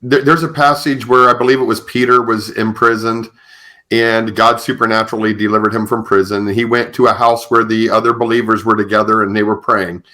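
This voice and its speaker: A man hosting a podcast, telling stories conversationally in a halting way with repeated phrases. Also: lowish voice, flat delivery, sharp tone. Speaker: podcast host.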